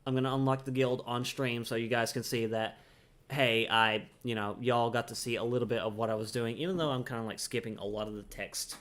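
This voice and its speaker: A man's voice: medium pitch, a bit bright. A man giving casual, rambling livestream commentary over a game in a playful, chatty way. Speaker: game streamer.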